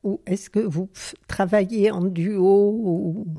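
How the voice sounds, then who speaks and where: A woman interviewer asking questions in a halting way, searching for her words. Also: mid-range voice, fairly even intonation, mellow.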